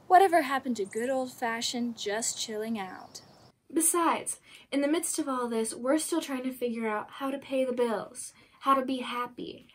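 A young woman giving a self-mocking, comic graduation speech, recorded on a camera mic outdoors in a home garden. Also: high voice. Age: young adult. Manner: deadpan self-mocking humor. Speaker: senior class speaker.

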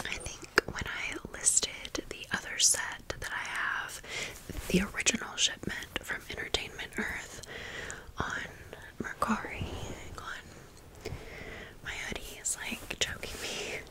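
A woman whispers softly and breathily, with almost no voiced sound. She takes her time, speaking very slowly in a casual, chatty ASMR style, like someone sharing a story. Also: low-quality recording. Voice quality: soft breathy unvoiced whisper. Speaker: ASMR video creator.

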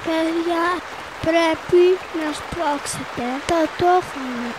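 An excitable storybook child, voiced by a female player, with an extremely high voice, speaking scripted fairy-tale dialogue. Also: over a noisy crowd, heavy background noise. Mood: eager. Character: excitable young child among storybook friends.

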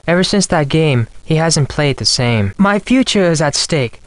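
A man's voice: a little high, animated, normal speed, dry recording, natural voice. A man playing a polite, formal, anxious young Japanese exchange student in a video game. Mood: ashamed, pleading. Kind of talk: scripted video game dialogue.

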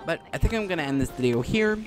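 A man's voice: fairly high pitch, lively intonation, fast. A man hosting a gaming video with casual, rambling commentary, often repeating himself. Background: game music.

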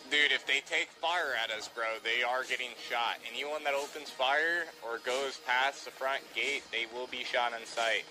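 A young man playing a roleplay shooter game, giving quick orders and calling everyone dude. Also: medium pitch, rough recording. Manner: bossy, gives quick orders, says dude a lot. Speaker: gamer streaming roleplay. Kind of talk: casual game chat. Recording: music playing behind.

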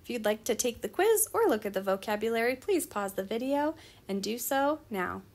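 Woman, slightly high pitch, children's book read-aloud, teacherly, nonfiction narration. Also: very animated, normal speed, a little mellow.

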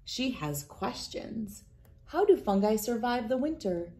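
A woman in her thirties reading a children's picture book aloud in a deliberate, expressive storytelling manner. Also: fairly high pitch. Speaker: read-aloud host. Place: indoors, wood-panelled room with large windows.

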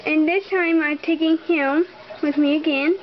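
A young girl giving a casual, halting tour of her toy horse collection, with many ums, talking straight to viewers. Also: high-pitched, steady tone, lo-fi sound. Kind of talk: casual barn tour narration. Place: bedroom. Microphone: handheld camera close to the floor.

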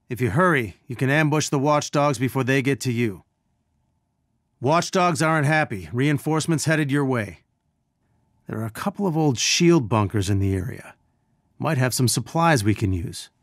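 Earnest scientist resistance leader, male, video game mission dialogue, medium pitch, measured and instructive, dryly wry, no room sound. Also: no room sound, clean studio sound.

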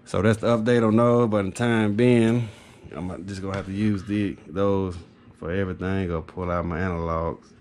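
A man's voice: sits low, steady tone, normal speed, a little mellow. A man talks informally into a handheld camera in a small room. He rambles through a product update in a matter-of-fact way. There is a light layer of background noise on the recording. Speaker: HVAC technician and video host. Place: small carpeted home office, indoors.

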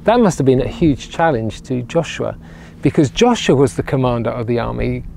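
A man's voice: mid-range voice, animated, moderate pace, vocal fry, darkish tone, slight background noise. A man, preaching earnestly to camera outdoors on a lapel mic, often repeating words as he builds a point. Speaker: regional minister. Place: outdoors by ruined castle walls on a sunny day. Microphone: clip-on lapel mic.

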